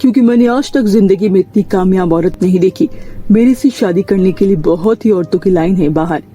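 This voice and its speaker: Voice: medium pitch, fairly quick, warm tone, close to an everyday voice. A performer voicing an appreciative male company owner in a cartoon story, earnest and formal. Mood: earnest, pleading.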